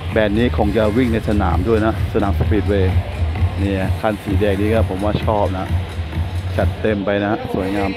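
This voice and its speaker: Chatty Thai man filming a car meet. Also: on the low side. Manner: chatty, adds polite "na khrap" to nearly every line. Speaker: car show vlogger. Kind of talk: walkaround commentary at a car meet. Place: outdoor car meet at a shopping mall.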